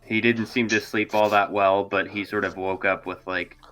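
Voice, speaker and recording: Male roleplay player, lowish pitch, bright tone, casual hesitant narration of his character. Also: flat delivery, normal speed, poor audio. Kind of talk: casual chat, describing character's actions.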